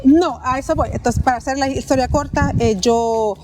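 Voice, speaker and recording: A Salvadoran woman in her thirties with a Salvadoran Spanish accent, telling her own story in an interview outdoors, earnest and hesitant, with many pauses. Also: high-pitched, background noise. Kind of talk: street interview, personal story. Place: outdoors on a city street.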